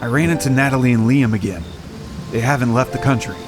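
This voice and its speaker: A man's voice: mid-range voice, fast, music in the background, close to an everyday voice. A man playing a cool, guarded young bachelor in an audio drama, matter-of-fact and protective. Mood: pragmatic.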